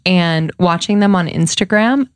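A woman's voice: on the low side, unhurried, smooth, dry recording. A young woman co-hosting a podcast, chatting in an upbeat way with plenty of likes and ums. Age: young adult. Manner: upbeat, chatty, many fillers. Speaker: podcast co-host. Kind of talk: casual chat.

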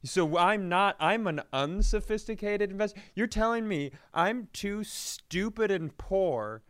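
A man with a clear, fairly high voice chats as a comedy podcast host. His storytelling is casual, dry and self-deprecating, recorded close with little room sound. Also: lively intonation, measured pace, a bit bright, no echo. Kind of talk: casual comic banter.

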